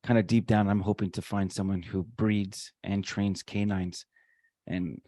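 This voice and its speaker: A man's voice: fairly low pitch, little pitch movement, a little slow, mellow, no room sound. A man co-hosting a podcast in a casual, conversational style. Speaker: podcast co-host.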